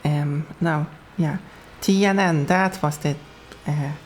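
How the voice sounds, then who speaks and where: A woman's voice: low voice, fairly even intonation, slow, darkish tone, a little hiss or hum. A young Swedish woman with a Swedish accent, chatting casually with her viewers while playing a racing game, laughing often. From Sweden. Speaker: streamer. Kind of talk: casual gameplay commentary.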